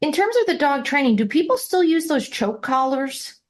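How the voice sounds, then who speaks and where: Woman host for an interview podcast, high and lively pitch, asks questions. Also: normal speed.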